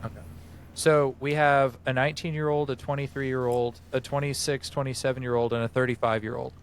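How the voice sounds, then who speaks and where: A man's voice: medium pitch, little pitch movement, fairly quick, slight background noise. Man in his twenties running the game. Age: 20s. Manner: organized, explains rules step by step. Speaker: game master. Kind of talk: running a tabletop session zero.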